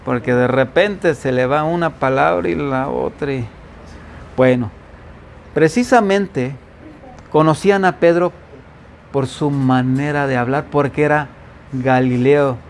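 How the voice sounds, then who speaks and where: A man's voice: mid-range voice, measured pace, gravelly, slight background noise. A man from the Veracruz coast preaching as pastor in an informal Bible-study sermon, with a faint Veracruz accent, often asking the congregation questions. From Veracruz coast, Mexico.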